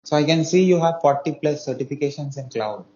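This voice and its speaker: A man hosting an interview, asking short, halting questions with fillers. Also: on the high side, lively intonation, mellow, a little hiss or hum. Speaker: interview host. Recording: dull lo-fi sound.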